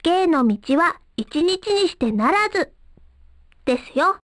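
A woman's voice: very high-pitched, animated, slow pace, fairly bright tone, no echo, studio quality, full character voice. A woman playing a polite, naive, cheerful young street-performer girl in a video game, with a guileless, girlish manner. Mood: bright, encouraging.

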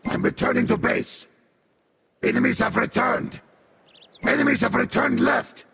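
A man playing a sadistic, vengeful infernal beast warrior in a video game, with a harsh demonic growl and theatrical, deliberate delivery. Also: very high-pitched, steady tone, creaky, sharp tone, breathy edge, exaggerated voice. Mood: commanding, urgent. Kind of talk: video game character voice lines.

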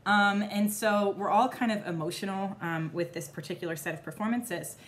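A woman in her thirties chatting casually to camera in her home kitchen, her voice still slightly hoarse as it recovers, rambling with lots of fillers. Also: medium pitch, normal speed, slight background noise. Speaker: vlogger. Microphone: phone or camera at arm's length.

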